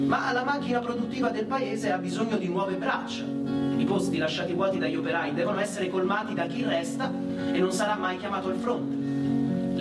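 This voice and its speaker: A man narrating scripted history in a solemn, measured way with theatrical pauses. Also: high-pitched. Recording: distant roomy sound, loud guitar music.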